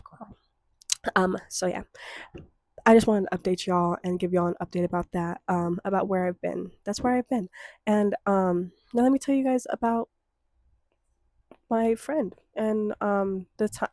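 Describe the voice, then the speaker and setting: A woman's voice: medium pitch, dark tone, no echo, clean studio sound. Stuffy-nosed young woman chatting casually. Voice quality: slightly congested. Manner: chatty, rambling, many ums and likes. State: stuffy nose, lingering cough. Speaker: podcast host. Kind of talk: casual solo podcast chat.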